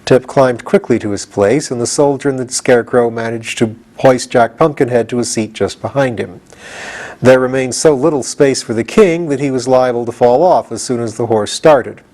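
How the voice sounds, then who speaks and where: Man reading a storybook, mid-pitched. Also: normal speed, darkish tone. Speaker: audiobook reader and host. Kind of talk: expressive storybook narration.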